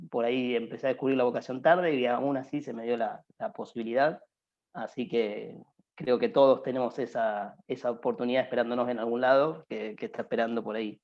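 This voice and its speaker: A man's voice: mid-range voice, gravelly. Rambling Argentine man on a muffled call. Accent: Argentine Spanish. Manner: rambling, full of filler phrases and restarts. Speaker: panelist at a careers workshop. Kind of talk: informal advice talk to students.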